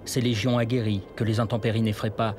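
A man narrating a historical documentary from a script, in a measured storytelling manner. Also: on the low side, little pitch movement, background noise. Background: music.

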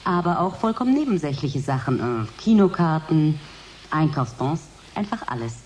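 An actress playing a doctor who heads a research section, explaining matter-of-factly in a radio play interview. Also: female voice, sits low, very animated, moderate pace, darkish tone. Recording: noisy 1980s radio recording.

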